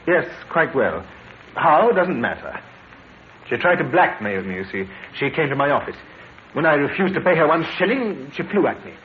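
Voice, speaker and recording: An actor playing a nervous, stammering murder suspect in a vintage radio drama. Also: male voice, on the high side, big pitch swings, moderate pace, long reverb tail. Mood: confessing. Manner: fretful, stammering, self-justifying. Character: nervous middle-class murder suspect, guilty, indignant. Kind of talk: 1950s radio drama acting. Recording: tinny noisy old radio broadcast.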